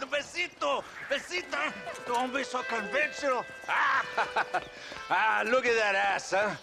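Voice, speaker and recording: An actor playing a lecherous, cruel army colonel with a Mexican accent and a smug, mock-genial manner in a video game. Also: male voice, extremely high pitch, slow pace, vocal fry, over music, full character voice. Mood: leering, cruel. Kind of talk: villainous video game acting.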